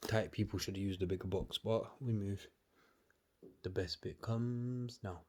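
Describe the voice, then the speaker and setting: Sniffly laid-back man, low voice. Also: measured pace, a little mellow. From United Kingdom. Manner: laid-back, chatty, slangy. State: sniffly with a cold. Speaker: vlogger. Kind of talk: casual unboxing chat to camera. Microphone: camera on a tripod. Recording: clear background noise.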